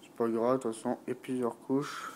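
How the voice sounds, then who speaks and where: A man's voice: medium pitch, little pitch movement, moderate pace, darkish tone, slight background noise. A man with a stuffy, blocked nose from a cold, unhurriedly talking through a painting tutorial and thinking aloud with many fillers. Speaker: miniature painting hobbyist.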